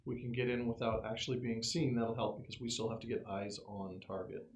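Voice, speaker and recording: A man's voice: medium pitch, steady tone, a little fast, a little hiss or hum. A man playing a tabletop game, talking casually and directively as he plans tactics aloud.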